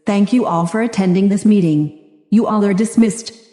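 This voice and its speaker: A formal female official , voiced by a synthetic-sounding woman, gives a grave scripted briefing in an audio drama. Her tone is mellow and measured, ringing with long reverb in an echoey room. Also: medium pitch, natural voice.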